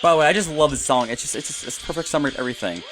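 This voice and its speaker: A man's voice: a little high, very fast. A man giving casual, rambling gameplay commentary, full of enthusiastic fan asides and rephrasing as he goes. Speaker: gamer commentating a playthrough. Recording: game music playing behind voice. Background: video game rock music.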